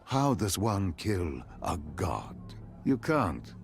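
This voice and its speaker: An actor playing a grave, all-knowing interrogator in a video game cutscene, explaining things in a measured, ominous, theatrical way. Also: male voice, deep voice, very animated, gravelly, soft background music, heavily acted. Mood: solemn, knowing. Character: grave all-knowing male interrogator lecturing on dark gods.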